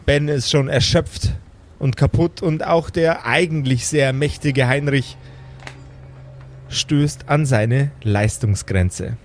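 A man's voice: medium pitch, slow pace. A man narrating an improvised tabletop game as game master and host. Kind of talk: live improvised tabletop narration and casual hosting.

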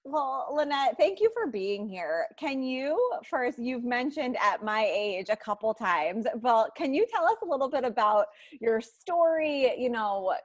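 A woman with a fairly high voice hosts a casual podcast, asking rambling, friendly questions that restart as she goes. The sound is close and dry. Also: animated, a little slow, few pauses, dry recording, dull audio. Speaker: podcast host.

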